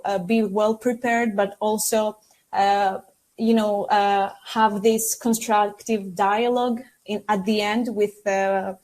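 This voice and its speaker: A woman speaks slowly as a podcast guest. She pauses often and fills the gaps with small hesitations and restarts. Her voice sits at an ordinary middle pitch. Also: a little mellow. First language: Greek. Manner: hesitant, many fillers and restarts. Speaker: podcast guest, former mentee. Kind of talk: unscripted podcast answer.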